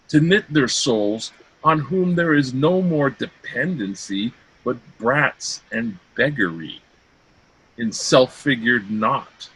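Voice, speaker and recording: Man playing a haughty young Shakespearean suitor, bright, swooping delivery. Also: mid-range voice, husky, heavily acted, rough recording. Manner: declamatory, drawn-out phrasing in archaic verse. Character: boorish, spoiled young princely suitor, haughty and scornful. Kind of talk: amateur Shakespeare play reading. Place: remote online video-call reading.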